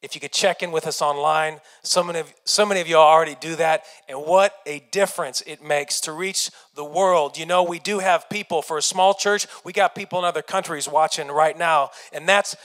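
A man's voice: a little high, steady tone, fairly quick, few pauses, fairly bright tone. An American man in his thirties preaching a sermon as a pastor, folksy and earnest, speaking into a handheld microphone. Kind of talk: sermon to an online congregation. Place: small indoor church stage room. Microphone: handheld microphone held close. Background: keyboard music.

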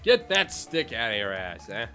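A man's voice: fairly low pitch, wildly swooping pitch, moderate pace, sharp tone, soft background music. A man hosting a gaming channel, reading a visual novel aloud with crude, wisecracking commentary. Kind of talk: comic read-along commentary on a visual novel.

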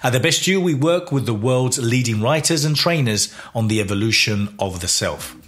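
A man's voice: medium pitch, expressive, normal speed. A man reading a scripted promotional introduction as an announcer. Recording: voice-over with soft music.

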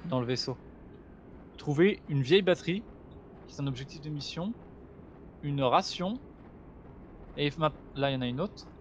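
A man talks through a co-op game, pointing things out and explaining how it works. His voice sits a little high, and a faint hiss runs under the recording. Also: animated, normal speed, long pauses, lo-fi sound. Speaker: gamer, co-host of a gaming channel. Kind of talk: casual co-op gameplay chat.

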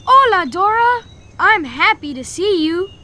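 A woman's voice: extremely high pitch, big pitch swings, unhurried, sharp tone, slight background noise, heavily acted. A woman voicing a friendly, eager robot character in a children's game. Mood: happy, welcoming.

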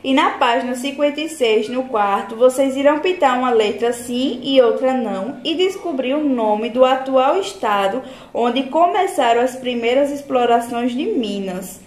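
Young woman, Brazilian accent, primary teacher, scripted lesson delivery, fairly high pitch, echoey classroom recording. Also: animated, moderate pace, hardly any pauses. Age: young adult. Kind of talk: scripted lesson narration for children. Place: small tiled classroom, indoors. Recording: echoey room with background noise.

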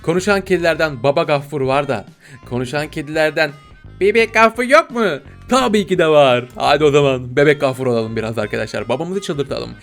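A man doing playful, excitable gameplay commentary, joking with viewers in slangy exclamations. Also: a little high, fast. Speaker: gaming video host. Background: game music.

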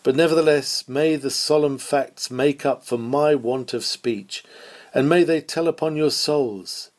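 A man's voice: on the high side, unhurried, darkish tone. A man reading a scripted historical sermon aloud in a solemn, declamatory preaching manner.

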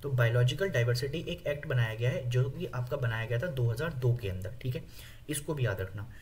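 A man with an Indian accent teaching an exam-prep lesson, explaining with many fillers, reading English lines slowly and asking viewers questions. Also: medium pitch, animated, darkish tone, slight background noise. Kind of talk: exam-prep lecture over slides.

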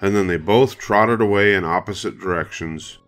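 Older guy reading a kids' picture book, lowish voice, music playing behind him. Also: moderate pace, a bit bright. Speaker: storybook reader. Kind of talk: scripted children's story narration.

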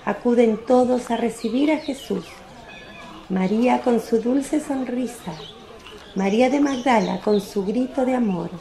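Lady narrating a bible radio play, solemn and deliberate, soft dark gravelly voice, roomy. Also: a little high. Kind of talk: scripted narration of religious radio drama.

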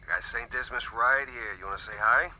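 A man's voice: mid-range voice, brisk, noisy background, close to an everyday voice. A man playing a brash, casual treasure-hunting partner in a video game. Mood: breezy. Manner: casual, breezy. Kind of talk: video game radio-chatter acting. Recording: clear music behind the voice.

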